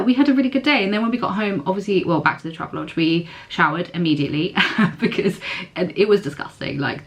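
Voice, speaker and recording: A young woman with a husky, hoarse voice, chatting casually to camera in a vlog and using lots of fillers. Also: sits low. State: hoarse, recovering voice. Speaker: vlogger.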